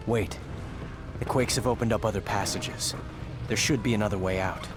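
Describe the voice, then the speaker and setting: A man plays a curious adventurer hero in a fantasy game. His voice is low, plain and earnest. Loud music sits behind him. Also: steady tone, moderate pace, lightly acted. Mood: puzzled, alert. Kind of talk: video game cutscene acting.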